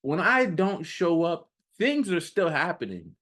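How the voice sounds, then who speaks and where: A man's voice: fairly high pitch, wildly swooping pitch, measured pace, a bit bright. A man speaking as a self-improvement coach in a casual motivational monologue, emphatic and repetitive, addressing the listener directly with rhetorical questions.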